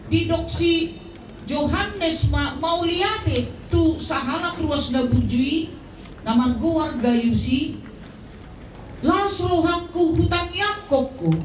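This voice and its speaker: A woman with a Batak Indonesian accent delivers a funeral sermon as a preacher, with deliberate, emphatic phrasing and frequent pauses, in a roomy, distant recording with faint noise. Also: on the high side, a little mellow.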